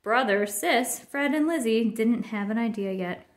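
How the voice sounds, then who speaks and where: A woman reading a children's picture book aloud in an expressive narrating style. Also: fairly high pitch, measured pace. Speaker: storybook reader.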